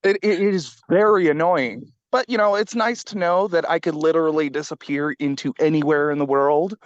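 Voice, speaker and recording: A man's voice: on the high side, brisk, no echo, dull audio. A man from California in a casual book club discussion, blunt and wry, swearing freely.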